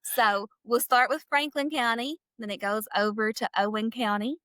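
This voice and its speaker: An energetic woman, her voice sitting a little high. She talks fast and freely, as a candidate would in an interview. The sound is close and dry. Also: no echo. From southeastern Kentucky. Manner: energetic, talkative, laughs easily. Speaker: political candidate. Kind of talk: podcast interview.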